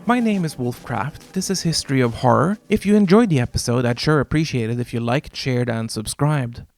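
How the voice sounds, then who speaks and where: A man whose first language is Norwegian, hosting a video essay in a measured, wry scripted narration with dramatic pauses. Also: mid-range voice, expressive, creaky. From Norway.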